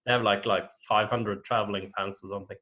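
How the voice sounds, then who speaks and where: Man, halting English with fillers. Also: lowish voice, fairly even intonation, sharp tone, lo-fi sound. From Norway. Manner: hesitant, many fillers and restarts. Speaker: guest. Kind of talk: casual interview chat.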